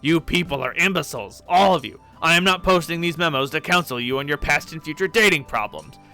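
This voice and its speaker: A man's voice: a little high, a little fast, pushed and bright, faint music behind, voice pushed up. A man voicing a grumpy, bossy teenage male alien troll who rants and swears in exasperation. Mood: exasperated.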